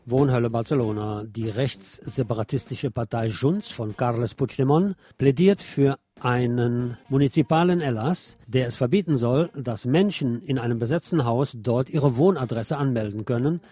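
Male radio presenter, soft mellow voice, reading local news, opinionated, light music underneath. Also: medium pitch, moderate pace, hardly any pauses, slightly rough, no echo. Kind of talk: scripted local news read with spoken asides.

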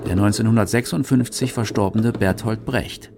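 A man's voice: on the low side, moderate pace, music in the background. A man from Germany, reading scripted documentary narration.

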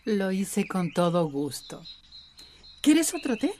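An actress playing a warm, apologetic healer in a radio drama, with a gentle manner. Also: female voice, medium pitch, very animated, slow pace, slight background noise. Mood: warm, tender.

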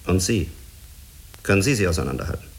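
An actor in a German radio drama playing a skeptical, authoritative spaceflight commander, questioning in a clipped manner. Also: male voice, low-pitched, expressive, fast talker, vocal fry. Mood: probing, skeptical. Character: hard, skeptical male spaceflight commander, probing and curt.

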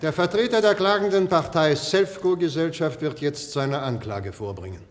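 An actor playing a stern, sceptical and dignified judge in a German radio drama, formal and authoritative. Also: male voice, on the high side, moderate pace, a little hiss or hum, lightly acted. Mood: grave, resigned. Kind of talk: 1960s-1990s German radio drama acting. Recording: reverberant hall, old radio play.

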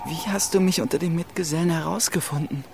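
A man's voice: on the high side, fast talker, gravelly, very noisy. An actor playing a shaken, grateful young miller's journeyman in love in a radio drama. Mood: relieved, tender. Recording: loud music behind the voice.

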